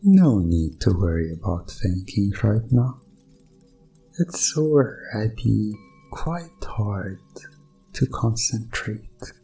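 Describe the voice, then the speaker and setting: A man playing a sinister, condescending evil doctor in a hypnosis ASMR roleplay, coaxing with mock reassurance and deliberate pauses. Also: on the low side, creaky, dark tone, heavily acted, rough recording. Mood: soothing, coaxing.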